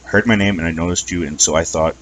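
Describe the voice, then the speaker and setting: A man voicing a shy, gentle female pony in a story, speaking in a hesitant, apologetic, polite way. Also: deep voice, steady tone, fast, slight background noise, lightly acted, poor audio. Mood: nervous, apologetic.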